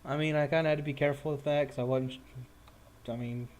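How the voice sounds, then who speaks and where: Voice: medium pitch, fairly even intonation, fast, a little mellow. A game master casually running and narrating a tabletop roleplaying game.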